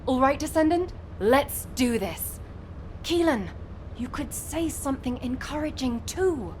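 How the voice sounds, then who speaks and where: A woman voicing a cheerful, talkative young female soldier in a video game, teasing and expressive. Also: high voice, measured pace, a little hiss or hum. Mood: friendly, encouraging. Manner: chatty, playfully exasperated.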